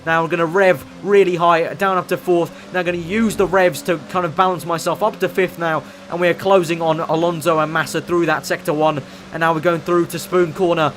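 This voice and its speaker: A hoarse man, losing his voice as he gives fast, excitable commentary on his own racing game play. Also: on the high side, slight background noise. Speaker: gaming YouTuber.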